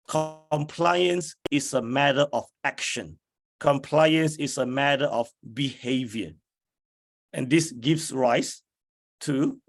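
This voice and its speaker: Hoarse, slow-talking male lecturer. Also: medium pitch. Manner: slow, deliberate, many fillers. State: hoarse from flu. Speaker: academic lecturer. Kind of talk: slide lecture.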